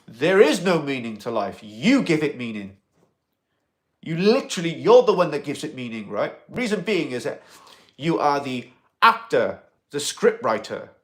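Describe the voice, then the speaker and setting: A man in an alien costume, chatting casually on a livestream and answering viewer comments in a rambling, excitable way. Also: a little high. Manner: rambling and excitable, with many fillers and restarts; loud bursts of excitement between quieter asides.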